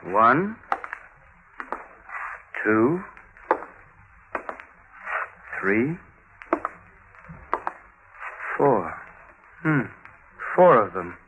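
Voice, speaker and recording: An actor playing a musing, self-important police officer in a 1950s radio drama, talking deliberately to himself. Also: male voice, mid-range voice, sing-song. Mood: pondering, puzzled. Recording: muffled, noisy 1950s radio recording.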